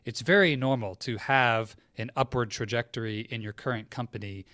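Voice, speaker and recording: A man's voice: medium pitch, normal speed, bright, forceful tone, dry recording. A man hosting a podcast, framing questions in a conversational interviewing style. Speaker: podcast host, career coach interviewer.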